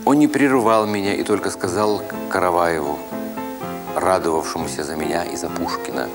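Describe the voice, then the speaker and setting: Man, lowish pitch, dramatic literary narration, expressive deliberate phrasing, clear music behind. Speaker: narrator of an audio story. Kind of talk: dramatic literary narration of a first-person story.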